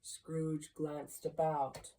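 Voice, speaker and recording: A woman narrates an old story with great deliberation. Her voice is on the low side and mellow, and she takes each phrase very slowly, like a careful audiobook reader. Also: level tone, rough recording. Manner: measured, deliberate.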